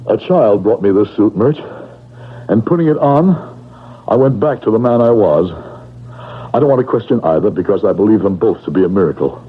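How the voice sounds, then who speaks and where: An actor playing an earnest, reformed wealthy gentleman of mature years in an old-time radio drama, speaking formally. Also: on the low side, expressive, a little fast, slightly rough. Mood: solemn, grateful. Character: reformed, anxious wealthy older gentleman, newly kind. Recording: tinny, noisy old radio recording.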